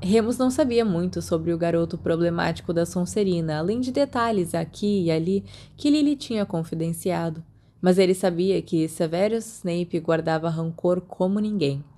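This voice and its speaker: A Brazilian woman narrating a fan fiction audiobook in a soft storytelling manner, voicing the dialogue as she goes. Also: on the low side, normal speed.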